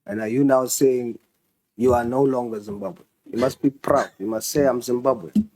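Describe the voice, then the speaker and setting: A man's voice: mid-range voice, moderate pace, darkish tone. A man questioning officials in a parliamentary committee, pressing and insistent.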